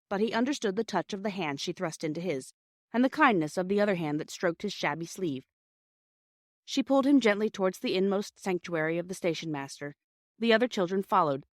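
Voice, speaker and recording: A woman's voice: on the low side, no echo. Woman reading a storybook, very dry sound. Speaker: audiobook narrator. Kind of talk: scripted storybook narration.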